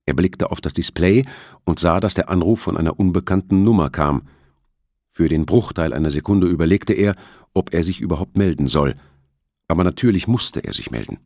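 A man's voice: deep voice, a little mellow, no room sound, studio quality. A man reading a thriller audiobook as its narrator, in scripted storytelling style.

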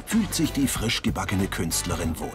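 A man's voice: deep voice, very animated, normal speed, over loud music. A man narrating a travel documentary in a scripted voice-over.

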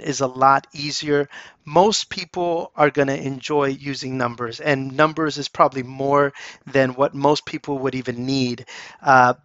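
A man narrating a casual software tutorial, chatty and enthusiastic, with lots of fillers. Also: a little high, dry recording. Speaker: course instructor. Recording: dull, slightly lo-fi dry voice-over.

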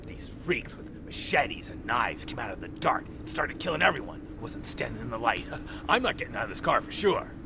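A man's voice: medium pitch, sing-song, normal speed, gravelly, hardly any pauses, background noise. An actor playing an edgy, evasive man stranded and desperate to escape in a video game, impatient, slangy and pushy. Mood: agitated, pushy.

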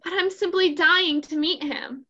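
A naive, gushing young lady, acted by a young woman for a stage comedy. Her girlish voice is very high and sharp, eager in delivery, over slightly muffled video-call sound. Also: a little slow, exaggerated voice. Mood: eager, pleading. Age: young adult. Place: indoors at home, video call.

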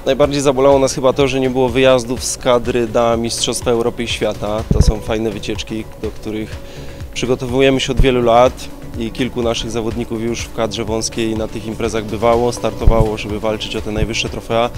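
A man's voice: medium pitch, flat delivery, a little fast. A man in his thirties, a martial arts coach, giving an interview on a handheld microphone in a gym hall. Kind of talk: on-camera interview. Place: large gym hall, indoors. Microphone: handheld reporter microphone. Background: music.

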